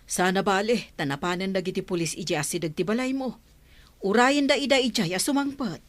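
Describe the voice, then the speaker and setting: An actress playing a businesslike investigator and protective aunt in a radio drama, questioning with authority. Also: female voice, medium pitch, a little fast, no echo, close to an everyday voice. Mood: concerned, indignant.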